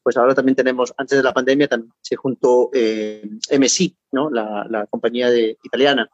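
A middle-aged man with a Peruvian accent speaks as a guest over a remote call. The audio is dull and dry. He talks in a halting way, repeating words and adding little tag questions. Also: medium pitch, fairly even intonation, no echo. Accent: Peruvian Spanish. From Peru. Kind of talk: interview.

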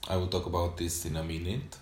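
A man whose first language is Romanian, talking unscripted on a solo podcast journal, hesitant with many pauses and fillers. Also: low voice, little pitch movement, darkish tone, roomy sound. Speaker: podcast host.

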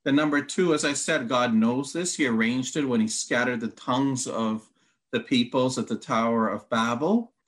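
A man's voice: mid-range voice, moderate pace. Man with Hungarian roots teaching scripture. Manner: methodical, reads long quotes, counts points. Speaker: Bible teacher. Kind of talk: teaching conversation.

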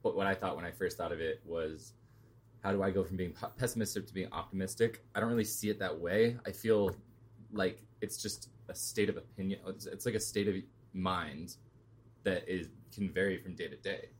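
Deep-voiced male podcast co-host. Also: steady tone, a little fast. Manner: conversational, checks in with "you know what I mean". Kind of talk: casual chat.

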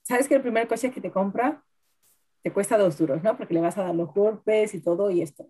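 A woman's voice: mid-range voice, brisk, dark tone, breathy edge. A woman co-hosting a podcast, telling stories in a chatty way and often tagging on "¿no?". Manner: chatty, keeps adding "¿no?". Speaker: podcast co-host. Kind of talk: casual chat over wine.

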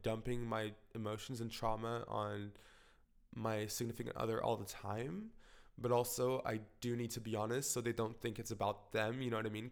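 Candid young man with a cold. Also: fairly low pitch. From Portugal. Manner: frank, rambling, heavy filler words and swearing. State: slightly congested with a cold. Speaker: vlogger. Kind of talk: candid personal vlog monologue.

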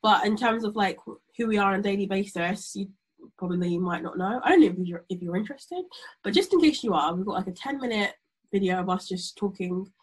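A woman in her twenties co-hosting a podcast in casual, teasing chat, hoarse from being ill. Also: medium pitch, brisk, low-quality recording. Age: 20s. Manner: chatty, teasing, laughs often. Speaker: podcast co-host.

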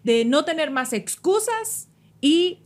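A Honduran woman hosts a casual chat show in a studio. Her voice is fairly high, and she talks informally with plenty of enthusiasm. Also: big pitch swings, slow. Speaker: show host.

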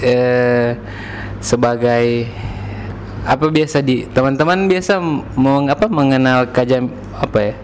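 A man's voice: medium pitch, a little slow. A man with a Gorontalo Indonesian accent interviewing a guest in a loose, informal chat. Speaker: podcast interviewer. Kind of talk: casual chat, informal questions. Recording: noticeable room noise and ambience.

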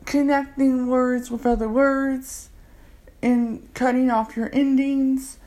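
Slow, unhurried woman tutor. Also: high voice, little pitch movement, warm tone. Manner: unhurried, explanatory, with fillers. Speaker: language tutor. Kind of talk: casual instructional talk.

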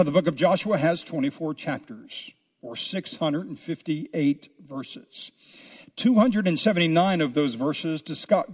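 A man preaching an expository sermon as a pastor, speaking deliberately with drawn-out, emphatic phrases. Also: a little high, darkish tone. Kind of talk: expository sermon, teaching a Bible book.

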